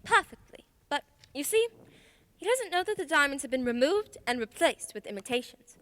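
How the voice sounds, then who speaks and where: Woman doing confident lady detective, radio play, high bright voice, precise n formal, kinda theatrical. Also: big pitch swings, moderate pace. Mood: composed, formal. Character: confident, shrewd female detective, brisk and precise. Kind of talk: stage-style radio play acting.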